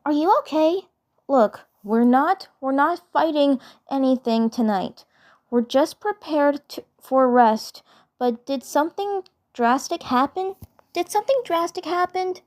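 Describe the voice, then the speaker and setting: A woman voicing a calm, caring young cartoon superheroine who leads her sisters in a measured, reassuring way. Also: high-pitched, pitched above the performer's own voice. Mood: wary concern.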